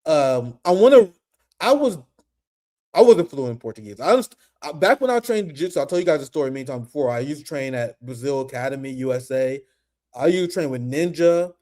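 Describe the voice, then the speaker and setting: A young man hosting a casual political commentary stream, animated and profane, laughing often. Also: a little high, a little fast.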